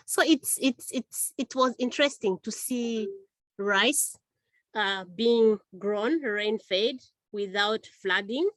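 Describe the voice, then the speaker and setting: Woman, hesitant, lots of pauses, dry close sound. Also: a little high, no echo, rough recording. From Zambia. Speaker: award-winning researcher and webinar panelist. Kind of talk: casual answer on a video call. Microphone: video call microphone.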